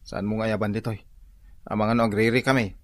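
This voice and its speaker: A woman playing an excitable, grumbling husband who is about to be a father, in an Ilocano radio drama comedy. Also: extremely low pitch, quick pace, no echo. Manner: exclamatory, grumbling.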